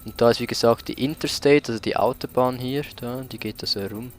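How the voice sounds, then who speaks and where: A man's voice: medium pitch, a little fast. A man doing casual let's play commentary as host, with a somewhat hesitant delivery, searching for his words.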